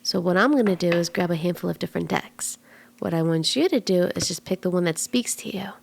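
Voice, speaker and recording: A woman voicing a flirty, playful kitsune fortune teller in a soft, teasing, reassuring ASMR roleplay, slipping into breathy whispers in places. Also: on the low side, a little fast, slight background noise. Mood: calm, guiding. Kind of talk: ASMR roleplay, soft intimate tarot reading.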